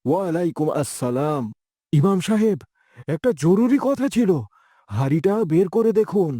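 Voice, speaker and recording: High, mellow, earnest farmer played by a man. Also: animated, normal speed, no echo, clean studio sound. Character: honest humble village farmer, gentle husband. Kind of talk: cartoon dialogue.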